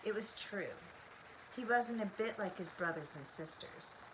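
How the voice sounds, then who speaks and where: An older woman reading a children's story aloud in an expressive, deliberate way. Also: mid-range voice, noisy background. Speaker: storybook reader. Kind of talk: scripted children's story narration.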